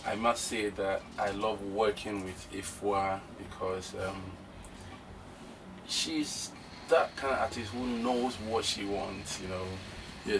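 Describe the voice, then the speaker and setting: A man, a bass player, talking in a loose, playful way in a casual interview. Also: distant mic, noisy background.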